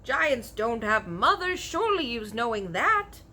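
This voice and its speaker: Very old male storybook giant, played by a woman: earnest, blustery, mangled grammar, emphatic drawn-out words, forceful tone. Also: a little high, sing-song, a little hiss or hum, pitched above the performer's own voice, exaggerated voice.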